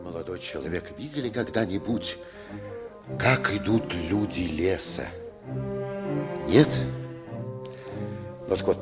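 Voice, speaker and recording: A rousing older forest worker in a 1950s radio play, his voice lively, rising and falling widely but slow-paced. Loud music plays behind him. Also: medium pitch. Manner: rousing, then singing a work song. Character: older male resin worker, striking forester uncle. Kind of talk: 1950s radio drama acting.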